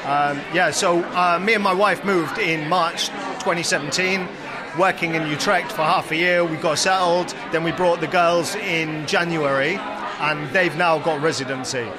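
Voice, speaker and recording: A man's voice: a little high, fairly even intonation, moderate pace. A man from England with a Yorkshire accent, telling his story in a chatty, self-deprecating way in a street interview. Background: crowd noise.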